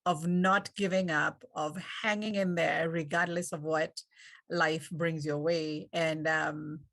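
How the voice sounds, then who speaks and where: A woman with a Kenyan accent hosting an online panel, speaking in an encouraging, unhurried way with fillers, over a video call. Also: sits low, steady tone, vocal fry, a bit bright. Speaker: event host. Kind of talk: warm panel moderation.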